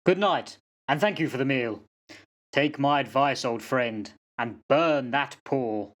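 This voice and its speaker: A man's voice: medium pitch, slow pace, a bit bright. Man acting a solemn old soldier with forebodings. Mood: earnest. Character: grave old soldier warning of a cursed charm. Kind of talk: radio play acting.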